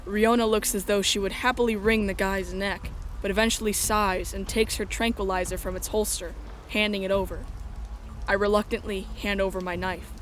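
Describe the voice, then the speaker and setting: A woman's voice: medium pitch, fairly even intonation, normal speed, slight background noise. A woman reading scripted first-person story narration as the narrator of a drama.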